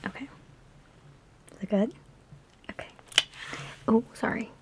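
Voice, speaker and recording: A woman in an ASMR roleplay speaking in a soft, breathy whisper mixed with hushed talk, with a sassy, teasing, chatty big-sister manner, close to the microphone. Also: on the high side, slow. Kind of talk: ASMR personal attention roleplay.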